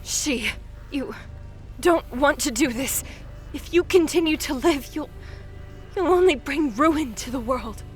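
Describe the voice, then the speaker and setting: A woman's voice: high-pitched, animated. A woman voicing a proud, vengeful dragon princess who speaks with bitter intensity, over faint music. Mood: pleading. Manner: intense, bitter, quick to shout.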